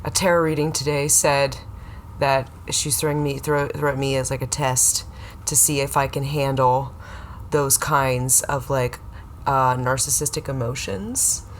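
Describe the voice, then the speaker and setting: A woman's voice: a bass voice, fairly even intonation, measured pace, a little hiss or hum. A woman, a guest on a comedy podcast, telling stories in a rambling, hesitant way full of restarts. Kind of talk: casual comedic chat.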